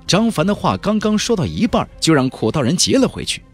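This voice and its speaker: A man's voice: on the high side, wildly swooping pitch, a little fast, slightly rough, soft background music. A man narrating a fantasy novel as a dramatic scripted audiobook.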